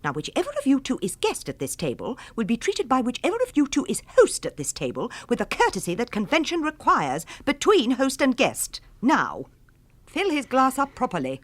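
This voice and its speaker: Exasperated English wife, high-pitched and scolding. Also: female voice, wildly swooping pitch, normal speed. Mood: stern, scolding. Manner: scolding, crisply formal wording. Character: exasperated, sarcastic, bossy wife at her wits' end. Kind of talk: radio drama acting.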